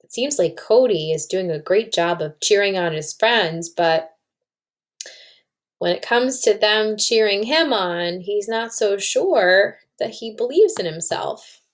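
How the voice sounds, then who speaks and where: Slow-paced woman reading aloud to children. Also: medium pitch, animated. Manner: explanatory, asks listeners questions. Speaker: children's read-aloud teacher. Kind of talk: storybook read-aloud with commentary for children.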